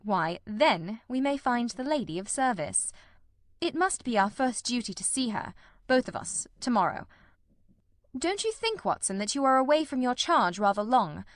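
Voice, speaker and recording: Casting: woman reading as a calm, incisive gentleman detective for an audiobook drama; measured, explanatory delivery, very dry sound. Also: on the high side, no room sound. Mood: calm, analytical.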